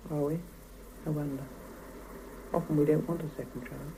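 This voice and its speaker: Soft, dark, creaky-voiced weary Soviet mother. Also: sits low, fairly even intonation, normal speed, rough recording. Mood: reflective. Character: Soviet woman scientist and young mother, weary, reflective narrator. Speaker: narrator. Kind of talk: 1970s radio drama interior monologue. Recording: hissy 1975 mono radio cassette recording.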